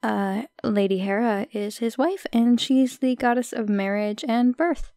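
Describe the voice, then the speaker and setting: A young woman playing a shy, friendly, modest minor goddess in an audio roleplay, chatting hesitantly with soft asides. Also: fairly high pitch, fairly even intonation, measured pace, dry recording, clean studio sound. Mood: helpful, friendly.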